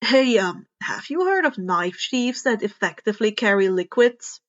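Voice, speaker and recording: A woman's voice: medium pitch, animated, moderate pace, highs cut off, lightly acted. A woman voicing an awkward, anxious but earnest teenage boy student who hesitates and stammers, in a story reading. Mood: tentative, interested.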